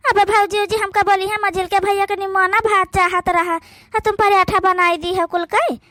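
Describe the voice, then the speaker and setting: Woman doing a high little awadhi girl, meek and pleading, cartoon voice. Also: little pitch movement, moderate pace, pushed and bright, no echo, studio quality. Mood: complaining. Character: young unmarried girl, meek sister-in-law. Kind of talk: cartoon voice acting.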